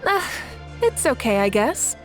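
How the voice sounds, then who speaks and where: A self-assured, teasing leader. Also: female voice, on the high side, big pitch swings, measured pace. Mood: calm. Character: confident, playful female leader of a nation. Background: game music.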